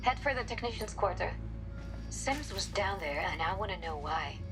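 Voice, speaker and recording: An actress playing a serious, composed spaceship officer in a video game, speaking in a measured, formal way. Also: female voice, medium pitch, animated, close to an everyday voice. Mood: businesslike. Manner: measured, formal, matter-of-fact. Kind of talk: cinematic video game acting. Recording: reverberant room, music underneath.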